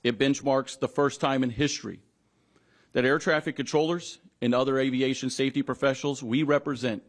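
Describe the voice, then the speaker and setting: A man with a medium-pitched voice. He speaks as a union spokesman making a formal public statement. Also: fairly even intonation, a little fast.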